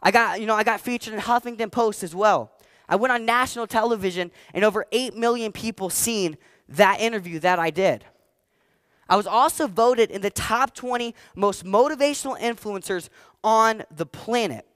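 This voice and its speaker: Teenage boy, motivational stage speaker, high voice, crisp and clean, dry sound, energetic and emphatic. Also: normal speed, no room sound. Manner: energetic, emphatic, repeats key phrases. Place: stage before a live audience.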